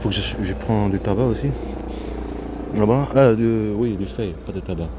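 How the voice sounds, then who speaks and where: Man rambling casually over loud outdoor noise. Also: fairly low pitch. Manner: halting, repetitive, self-interrupting. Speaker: vlogger. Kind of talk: casual rambling vlog commentary. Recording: noisy outdoor recording with vehicle sounds.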